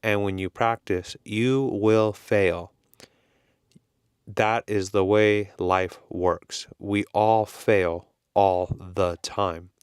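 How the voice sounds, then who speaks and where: A man with a Californian accent talks to English learners at a very slow, careful pace, with plain words and repeated points. His voice sits a little low and sounds smooth and clear. It is recorded close and dry, with no room sound. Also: steady tone, no echo. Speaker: English teacher. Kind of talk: slow, simple explanation for learners.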